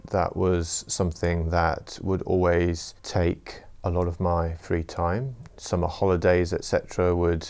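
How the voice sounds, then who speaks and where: Deep-voiced Welsh man, unhurried. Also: darkish tone, clean tone. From Wales. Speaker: interviewee, former orchestral violinist turned trader. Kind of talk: measured interview storytelling.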